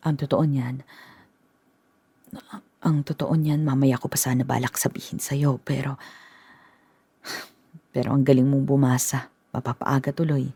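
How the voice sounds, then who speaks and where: An actress playing a worried middle-aged mother who speaks in a halting, gentle way in a radio drama. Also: rumbling low, flat delivery, slightly rough, no echo, heavily acted.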